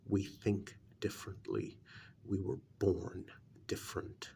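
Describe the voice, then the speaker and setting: A man playing an intense, devoted human familiar in a soft-spoken ASMR role-play, speaking in a slow, hushed, deliberate half-whisper with gravel in it. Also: low voice, a little hiss or hum, full character voice. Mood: somber, musing.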